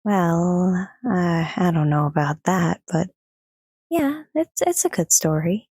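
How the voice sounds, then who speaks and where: A woman playing a sweet, trusting young daughter in an audio drama, speaking gently and earnestly. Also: on the low side, expressive, takes it slowly, slightly rough, no room sound, studio quality, heavily acted. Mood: casual, friendly. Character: sweet, trusting daughter, child to mid-teens, telling family stories.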